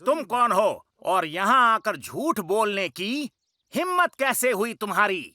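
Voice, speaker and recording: High, sharp-voiced scheming royal minister. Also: male voice, very animated, measured pace, no echo, full character voice. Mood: angry, commanding. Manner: imperious, declamatory. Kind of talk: Hindi cartoon dub acting.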